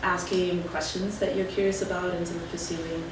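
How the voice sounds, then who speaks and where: A woman's voice: on the low side, level tone, moderate pace. A woman on a career panel who gives casual, chatty advice with many fillers. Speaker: alumna panelist. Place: lecture room. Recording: echoey room, distant mic, background noise.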